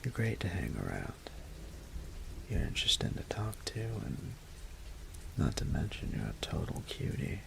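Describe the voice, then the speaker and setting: Man acting low, breathy, gravelly flirtatious roommate. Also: big pitch swings, unhurried, long pauses, in a whisper, exaggerated voice. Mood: teasing, encouraging.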